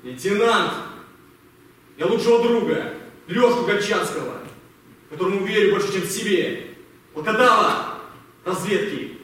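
An actor playing a gruff but fatherly army captain in an amateur radio play, giving clipped, commanding orders with deliberate pauses. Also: sits high, a bit bright. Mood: grave, reproachful. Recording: distant echoey hall, faint noise.

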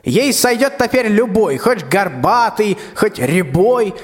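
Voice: mid-range voice, very animated, slow, faint music behind. A performer playing a scheming, bossy old tsar in a rhymed radio play, with declamatory folksy speech. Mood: scolding, impatient. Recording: long hall-like reverb.

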